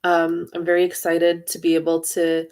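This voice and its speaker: A woman presenting in a webinar about study programs in an informative, steady way, with frequent hesitation fillers, over a computer mic. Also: lowish voice, moderate pace. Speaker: university international student services director, presenter.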